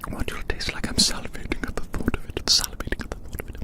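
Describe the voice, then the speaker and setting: An ASMR performer whispering breathily as a gloating giant toying with tiny prey, savouring and repeating phrases with playful menace. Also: quick pace.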